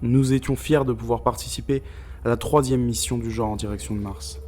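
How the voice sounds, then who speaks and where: A soft, mellow male voice of medium pitch, an actor as a spaceship's scientific officer haunted by his mission. He narrates gravely and deliberately, leaving weighted pauses, over faint background noise in a sci-fi audio drama. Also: fairly even intonation, natural voice. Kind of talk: scripted dramatic first-person narration.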